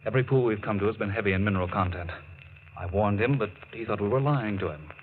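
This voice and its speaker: An actor plays an earnest man, protective yet secretive. His voice is low and emphatic in 1940s radio drama fashion, on a muffled recording with faint hiss. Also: fast talker, close to an everyday voice.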